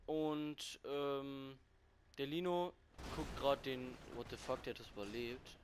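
Young guy co-hosting a game session, mid pitch, excitable, swears a lot. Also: takes it slowly, noisy background. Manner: excitable, swears casually, bursts of shouting. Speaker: gaming co-host (Max). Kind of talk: casual gameplay commentary.

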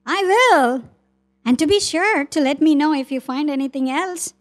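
A woman plays an inquisitive little know-it-all animal in a puppet show for children. The voice sits high, full of questions and facts. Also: lively intonation, moderate pace. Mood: eager. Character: inquisitive, knowledgeable animal puppet named Oliver.

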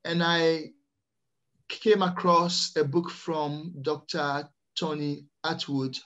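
A middle-aged man from Ghana, the Netherlands and France, telling his own story in a hesitant, measured way on a video call. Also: on the high side, little pitch movement, sharp tone, muffled recording. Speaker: interview guest, early years teacher. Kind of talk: personal storytelling in an interview. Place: small plain room indoors, on a video call. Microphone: computer microphone on a video call.

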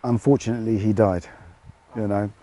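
A middle-aged British man, a fish farmer, explaining his work outdoors in a relaxed, hesitant way. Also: lowish voice, brisk. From United Kingdom. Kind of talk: casual interview answers.

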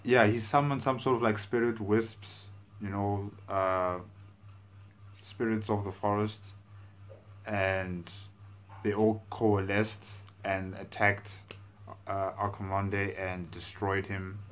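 A man in his thirties with a low voice comments casually on what he has just watched. He talks slowly, pausing to think aloud as he works through his thoughts. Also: flat delivery. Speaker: reaction video host. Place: indoor room at home.

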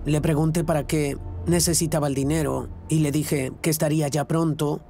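A man's voice: a little high, level tone, normal speed, creaky, dark tone. An actor with a Latin American Spanish accent dubbing a lovestruck, earnest middle-aged man in a reality show. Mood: uneasy, earnest. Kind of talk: dubbed reality show talk and confessional. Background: background music.

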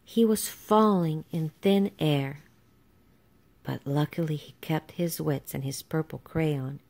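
A woman's voice: deep voice, takes it slowly, creaky, darkish tone. A woman reading a children's storybook aloud as a narrator. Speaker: storybook reader.

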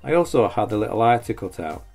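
A man's voice: lowish voice, sing-song, fast talker, vocal fry, darkish tone. A man in his forties, host of a woodworking channel, giving a plain, matter-of-fact scripted voice-over that is openly self-critical about his work. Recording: voice-over with faint music behind.

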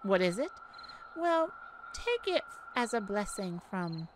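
A woman's voice: medium pitch, very animated, slight background noise. A woman playing a haughty yet kindly goddess of light in an ASMR audio roleplay, theatrical and teasing. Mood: confiding, gentle. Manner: theatrical, teasing, mock-offended, with pauses.

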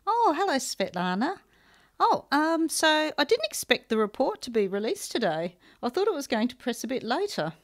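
A woman's voice: high-pitched, big pitch swings, normal speed. An actress playing a prim, sceptical government aide who questions her orders.

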